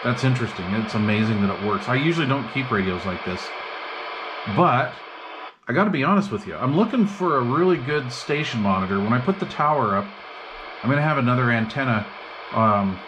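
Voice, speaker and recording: Rambling man over noisy radio static. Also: medium pitch. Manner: rambling, thinking aloud, slangy. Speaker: hobbyist host demonstrating and testing an old CB radio. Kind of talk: casual unscripted bench-test chat. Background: CB radio receiver hiss and chatter.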